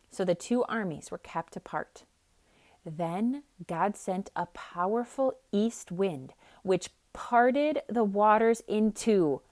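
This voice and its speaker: A woman storyteller reading a picture book aloud for children in an expressive, dramatic style. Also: medium pitch. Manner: expressive, dramatic, with hushed emphasis. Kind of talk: theatrical read-aloud narration with casual asides.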